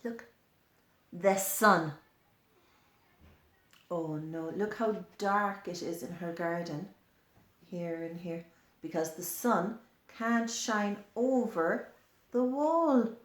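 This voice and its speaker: A woman's voice: medium pitch, extremely slow, long pauses. A woman reading a picture book aloud to young children, explaining and pointing things out as she goes. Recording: echoey room.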